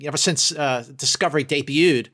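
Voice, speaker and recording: A man's voice: fairly high pitch, moderate pace, pushed and bright. A middle-aged man speaking as a guest critic in a podcast discussion, effusive and emphatic, often repeating words to drive a point. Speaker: guest film critic and entertainment journalist.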